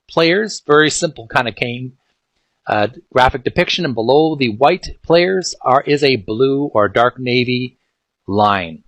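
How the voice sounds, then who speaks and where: A man with a mid-pitched voice speaks as an informal channel host, reading aloud and commenting as he goes. His phrasing is halting and piecemeal, with words often repeated. The recording is dry, with very little room sound. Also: fairly bright tone, no room sound. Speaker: video game channel host. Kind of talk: informal read-aloud and commentary on retro game art.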